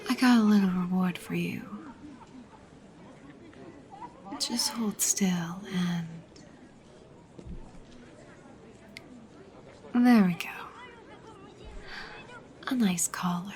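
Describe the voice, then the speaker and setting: Woman acting a slow, whispery, dominant goddess girlfriend. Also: mid-range voice. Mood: teasing, possessive. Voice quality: soft, breathy, much whispered. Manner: slow, coaxing, commanding. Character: dominant, teasing goddess girlfriend hypnotist, possessive and soothing. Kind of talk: ASMR roleplay.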